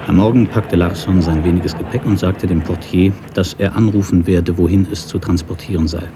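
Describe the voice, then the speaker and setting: A man narrating a literary radio drama from a script in a measured, sober manner. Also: low voice, steady tone, noisy background.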